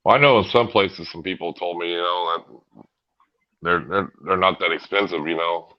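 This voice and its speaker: A man's voice: sits low, normal speed. An American man chatting casually as a livestream host in a folksy, loose way. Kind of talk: casual chat. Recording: slightly dull livestream audio.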